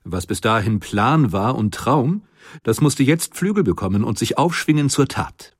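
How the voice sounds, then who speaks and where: A man's voice: medium pitch, lively intonation, normal speed, breathy edge, no echo, clean studio sound. An actor playing an earnest, idealistic inventor of the 19th century, reading his recollections in solemn, old-fashioned phrasing. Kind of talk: dramatized memoir reading.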